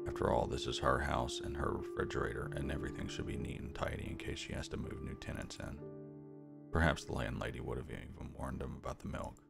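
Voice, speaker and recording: A young man with a gravelly voice, a streamer reading visual novel narration aloud with casual asides. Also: extremely low pitch. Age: young adult. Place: small room at home, indoors. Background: game music.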